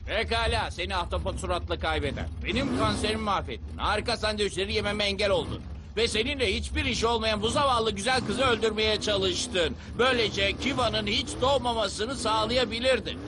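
A man voicing an excitable, blustering young slacker who pilots a giant robot in a dubbed cartoon. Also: high-pitched, a little fast, background noise, lightly acted. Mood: indignant. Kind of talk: animated cartoon dub acting. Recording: loud music behind the voice. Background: loud music.

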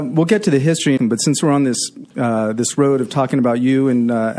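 Male radio host, mid-range pitch, conversational interview delivery. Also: a little mellow.

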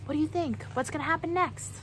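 A woman's voice: high-pitched, fast talker, slight background noise. A young adult woman reading a children's book aloud expressively, often putting questions to her young listeners. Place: outdoors on a wooden backyard deck.

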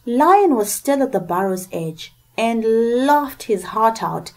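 A woman narrating a scripted folktale as an expressive storyteller, with deliberate emphasis. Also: on the high side.

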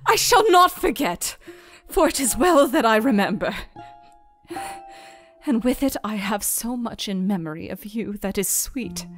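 A man puts on a refined young wife, frightened but brave, in a high, lilting falsetto that rises and falls widely. She speaks slowly, as in a storybook reading, with soft music underneath. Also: animated, full character voice. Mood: tearful tender. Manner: high falsetto, slow and pleading.

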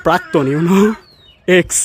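A man's voice: high-pitched, a little slow, heavy background noise. A young man with a Bangladeshi accent hosting a casual prank vlog, mixing in English words. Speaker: prank video host.

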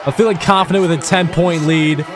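A man's voice: a little high, steady tone, a little fast, over a noisy crowd. A young man giving casual, slangy, reactive commentary over his gameplay. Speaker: gaming video creator. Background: basketball video game sounds.

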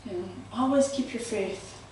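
Loud-voiced woman preaching in an echoey room. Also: on the high side, moderate pace, a little hiss or hum. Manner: loud voice that carries, animated and gesturing. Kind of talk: sermon. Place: small church room, indoors. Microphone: far camera, no microphone. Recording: very echoey room, voice heard from a distance.

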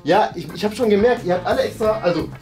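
A man's voice: sits high, lively intonation, fast, a little mellow, soft background music. A man in his thirties with a German accent, casually hosting and commenting on a guessing game in a room. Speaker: host and contestant of a guessing game show. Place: medium-sized indoor room.

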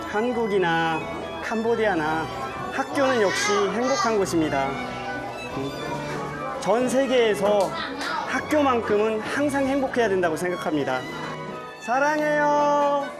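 A man's voice: high voice, lively intonation, a little slow. A man on location in a floating village classroom, playfully teaching children a few words, recorded on a lapel mic. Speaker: travel presenter visiting a school. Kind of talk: casual on-location talk. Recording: on-location with music and crowd noise. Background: children's voices and music.

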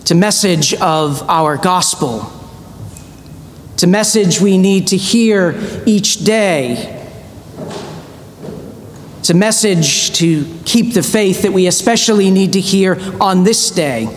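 A middle-aged male priest giving a measured, earnest sermon from a church pulpit, pressing on key words with slow emphasis. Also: expressive. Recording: reverberant church with faint rumble.

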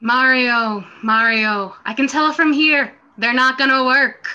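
A woman's voice: sits high, fairly even intonation, unhurried, sharp tone, clear, resonant tone, poor audio. A young woman on a video call, dramatically reading the part of a smug, dismissive official. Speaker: amateur actor in a script reading. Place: small room at home, video call.

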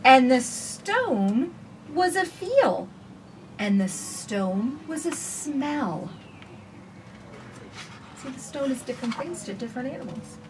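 A woman in her forties reading a picture book aloud for children with expressive, sing-song phrasing, recorded outdoors on a porch. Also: high-pitched, takes it slowly, long pauses. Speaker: children's storytime reader. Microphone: camera a few feet away. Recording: distant camera mic, faint outdoor noise.

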